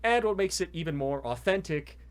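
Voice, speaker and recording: A man's voice: fairly high pitch, lively intonation, a little fast. A young man hosting a casual music reaction, explaining band history with fanboy enthusiasm and laughter. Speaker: host.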